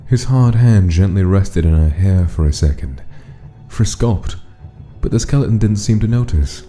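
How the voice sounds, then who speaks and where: A man's voice: deep voice, expressive, moderate pace, warm tone. A man narrating a dramatic story from a script, as the narrator of a comic dub. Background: music.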